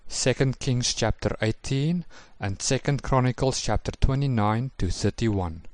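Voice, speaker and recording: A man in a recorded lesson presentation, reading scripture passages in a measured, formal way. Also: mid-range voice, no echo.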